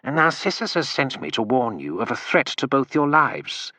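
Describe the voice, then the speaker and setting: A man's voice: medium pitch, very animated, normal speed, bright, forceful tone, no echo. A man voicing a wry, dry-witted imperial agent. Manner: dry, ironic.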